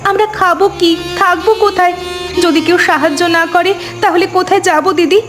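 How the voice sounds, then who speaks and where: A woman's voice: extremely high pitch, fairly even intonation, normal speed. A woman playing a timid, anxious youngest daughter-in-law in a cartoon drama, with a plaintive, fearful manner. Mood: frightened, worried. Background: music.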